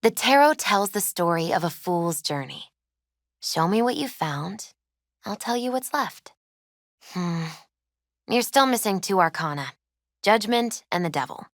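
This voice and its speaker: Young female tarot reader, gentle, earnest, mystical, thoughtful hesitant phrasing, mid-range pitch, dry close sound, video game dialogue. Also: animated, measured pace, pauses often, no echo. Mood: mystical, explanatory.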